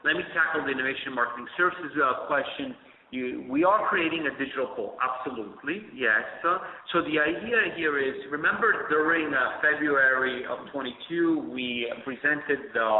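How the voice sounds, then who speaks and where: Brisk executive man on a conference line. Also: mid-range voice. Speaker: company executive. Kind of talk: earnings call answer. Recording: echoey tinny phone line with reverb.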